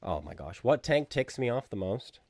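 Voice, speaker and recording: A man's voice: medium pitch, very animated, normal speed, darkish tone, no room sound. A man from New England with a plain American accent, chatting with viewers while he streams a tank game. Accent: plain General American, Boston trained out. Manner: chatty, talks to viewers by name. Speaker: gaming streamer. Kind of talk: casual chat while playing a tank game, reading chat.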